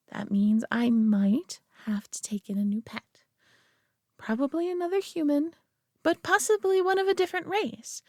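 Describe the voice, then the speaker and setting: A woman voicing a possessive, doting alien who coos over her pet human in an intimate roleplay audio drama, coddling and softly condescending. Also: high-pitched, dry recording, clean studio sound. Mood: fond, reassuring.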